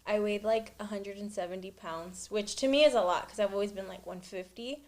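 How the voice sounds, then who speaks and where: Young female guest, mid pitch, giggly and talkative, casual storytelling. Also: brisk. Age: young adult. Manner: chatty and giggly, fills with "like". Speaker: podcast guest. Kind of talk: casual chat.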